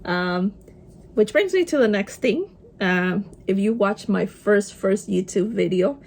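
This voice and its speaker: A woman's voice: mid-range voice, animated, measured pace, creaky, a little mellow. A young woman with a Spanish accent, a native Spanish speaker, chatting casually while doing her makeup and dropping in Spanish words. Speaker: vlogger. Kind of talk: casual get-ready-with-me chat.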